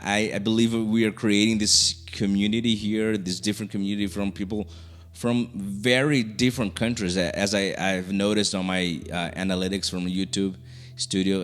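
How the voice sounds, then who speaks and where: Brazilian man, low voice, halting. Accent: Brazilian Portuguese. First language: Portuguese. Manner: halting, many restarts and fillers. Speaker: YouTuber. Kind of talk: casual talk to camera. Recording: soft music underneath.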